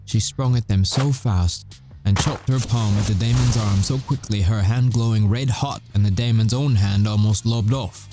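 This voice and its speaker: A man playing a cocky but haunted werewolf soldier, telling his story in a wry, measured way with dramatic pauses, in an ASMR audio roleplay. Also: fairly low pitch, music in the background, lightly acted. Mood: bitter, weary.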